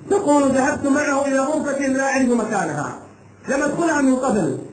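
A male preacher delivers an impassioned cautionary sermon in a very high-pitched voice. His phrases are short, emphatic and often repeated, and they come quickly. The sound is strongly echoey. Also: lively intonation. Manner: emphatic short phrases, repetition for dramatic effect. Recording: echoey room sound with light background noise.

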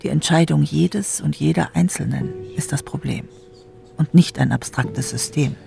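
An actor playing a critical, reflective debater, speaking in a measured, formal and analytical way. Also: male voice, a little high, gravelly, darkish tone, soft background music. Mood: critical, sober. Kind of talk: dramatized debate speech.

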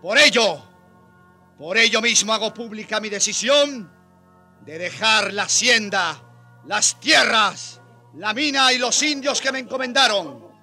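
Fervent high-voiced priest. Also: male voice, a little slow, pushed and bright, faint music behind, exaggerated voice. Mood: fervent, solemn. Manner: solemn, declamatory, impassioned. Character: fervent repentant priest renouncing his estate. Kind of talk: radio drama acting, preaching.

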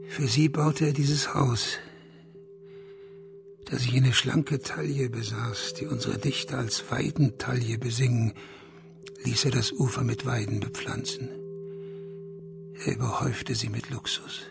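Bitter, creaky-voiced proud aristocrat. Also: male voice, medium pitch. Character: bitter, proud last heir of a declining Chinese family. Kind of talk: radio crime drama acting. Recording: music behind the voice.

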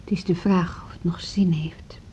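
An actress playing a hesitant, apologetic woman in an intimate radio drama, with a soft, husky, halting voice. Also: on the low side, fairly even intonation, whispered, close to an everyday voice. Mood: subdued, apologetic. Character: hesitant, apologetic pregnant woman, a music school co-director. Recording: dull lo-fi radio drama recording.

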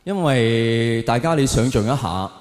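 A man's voice: medium pitch, expressive, a little slow. A man with a Hong Kong Cantonese accent performs live stand-up comedy, talking conversationally and directly to his audience on stage. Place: on stage in a large indoor venue. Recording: echoey large hall with long reverb.

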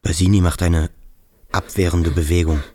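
A man's voice: low voice, fairly even intonation, moderate pace, dark tone. A man playing a sensitive, brooding cadet in a radio drama, earnest and intense in his inner monologue. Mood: transfixed.

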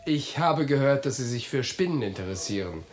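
A man's voice: mid-range voice, expressive, a little fast, lightly acted. An actor playing a sociable, boastful American traveller in a German radio drama, telling his tales eagerly. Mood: eager, conversational.

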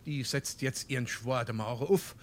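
An actor playing a grumbling, scolding small-town husband in a dialect stage comedy, sarcastic, with a Darmstadt Hessian accent. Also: male voice, mid-range voice, normal speed, lightly acted. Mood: exasperated. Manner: exasperated, sarcastic.

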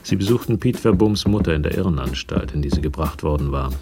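A man's voice: very deep voice, expressive, fast, over music. A man narrating a radio crime drama in a sober, scripted way. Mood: measured, sober. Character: sober male narrator of a police crime story.

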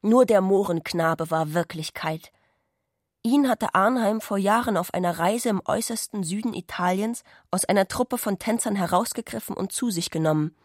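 A woman's voice: medium pitch, normal speed, no room sound, studio quality. A woman reading scripted literary prose as the narrator of a radio play.

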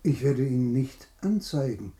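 An actor playing a courteous gentleman captain in a radio drama, formal, measured and tactful. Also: mid-range voice, sing-song, reverberant. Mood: grave, courteous. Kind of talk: German radio drama acting.

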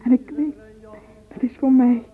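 An actress playing a dreamy, self-dramatising young woman in a radio drama inner monologue, hushed and breathy with yearning. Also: sits high, steady tone, a little slow. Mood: breathless rapture. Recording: muffled, noisy old radio play recording.

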